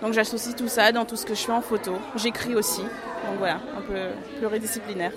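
A woman's voice: a little high, fairly even intonation, brisk, crowd noise. A young woman in her twenties, an artist photographer, answering questions in a casual radio interview with many fillers and easy laughs.

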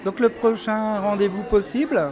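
A man's voice: sits high, steady tone, moderate pace, music in the background. An older man interviewing a guest for radio, steering the talk and rephrasing his questions.

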